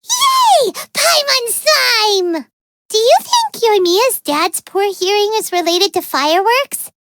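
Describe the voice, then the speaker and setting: A woman's voice: extremely high pitch, very animated, takes it slowly, a bit bright, exaggerated voice. A woman voicing a tiny, childlike fairy companion in a video game, greedy, naive and dramatic, with an exclamatory, whiny manner. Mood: overjoyed. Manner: speaks of self in third person, exclamatory, whiny. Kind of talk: video game character dialogue.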